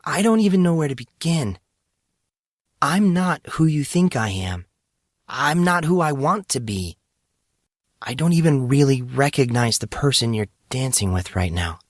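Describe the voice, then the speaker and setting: A man's voice: mid-range voice, animated, measured pace, dry recording, studio quality, voice pushed up. A man voicing a distressed, guilt-ridden teenage girl in an audio drama, hesitant and confessional. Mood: pleading, regretful.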